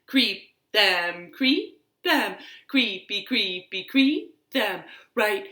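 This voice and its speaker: A woman leads storytime for small children in a roomy, echoing home space. Her delivery is very slow and simple, with encouraging phrasing. The voice is bright and moves in lively swoops of pitch. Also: very noisy. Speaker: children's storytime host at a library. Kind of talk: children's storytime with rhymes and read-aloud. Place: living room at home.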